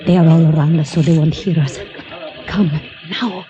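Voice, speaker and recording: A woman's voice: deep voice, steady tone, moderate pace, lightly acted. An actress playing a bold, commanding foreign woman on the run in a radio drama, speaking in terse, formal phrases. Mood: urgent, commanding. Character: bold, urgent foreign woman fugitive, admiring and seductive. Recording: muffled old radio recording, music behind.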